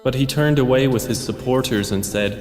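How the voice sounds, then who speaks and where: A man reading a scripture translation in a solemn, measured and formal way. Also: medium pitch, darkish tone. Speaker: translation reader. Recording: echoing long reverb, music-like backing.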